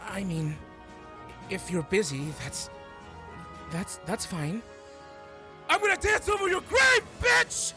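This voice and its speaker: A man's voice: high-pitched, wildly swooping pitch, slow pace, long pauses, over music, full character voice. A man playing a timid, volatile dancer in a performed character scene. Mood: shrieking rage. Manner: hesitant, stammering, then shrieking. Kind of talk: character voice acting.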